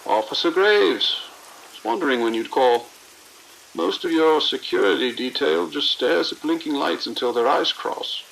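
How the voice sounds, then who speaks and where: A proud, lofty male scientist, played by an actor, with a heavily creaky, higher-pitched voice. He lectures grandly and condescendingly in audio drama style over faint hiss. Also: animated, normal speed, a bit bright, low-quality recording. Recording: hiss and rain-like noise.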